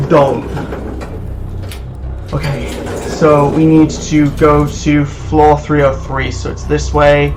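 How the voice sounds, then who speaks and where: A man giving live commentary on a horror game, muttering nervously to himself and breaking into excited bursts. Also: a little high, a little hiss or hum, rough recording. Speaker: let's play host.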